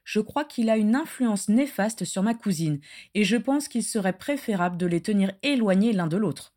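Woman reading as a young nobleman, earnest, formal, protective, defiant, mid-pitched, fantasy audiobook. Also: expressive, moderate pace, mellow, natural voice. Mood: earnest defiance.

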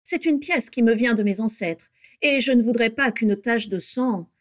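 A woman's voice: fairly high pitch, normal speed, lightly acted. An icy old aristocrat of a wizard, voiced by a woman narrator. He is haughty and curt, detaching each word with care, as in a fantasy audiobook. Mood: icy disdain. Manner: icy, curt, carefully articulated.

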